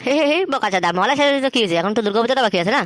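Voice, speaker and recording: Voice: medium pitch, animated, racing, a bit bright, no echo, studio quality, natural voice. A performer with a Bengali accent voicing foul-mouthed, teasing young friends in slangy comic cartoon banter.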